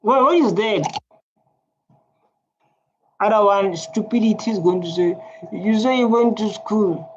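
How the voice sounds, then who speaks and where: A man's voice: sits high, measured pace, pauses often. A South African man with a Tswana accent, a native Setswana speaker, commenting casually and bluntly on clips, repeating himself. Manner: animated, blunt, repetitive. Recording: dull lo-fi sound with faint noise.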